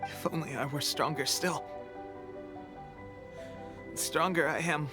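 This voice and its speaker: An actor playing a young male resistance soldier, weak and coughing, talking in an earnest, halting way. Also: a little high, fairly even intonation, lightly acted. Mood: weary. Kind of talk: game voice acting. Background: game music.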